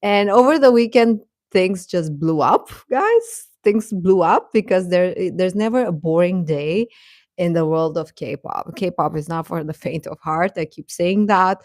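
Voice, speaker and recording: A woman's voice: on the low side, a little slow, darkish tone, no room sound, studio quality. A woman hosting a livestream, chatting casually and informally with her viewers. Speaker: livestream host.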